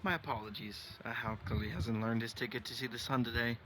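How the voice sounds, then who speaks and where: A man's voice: medium pitch, fairly even intonation, quick pace, background noise, close to an everyday voice. Dry, composed young man played by an actor. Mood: apologetic. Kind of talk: game dialogue.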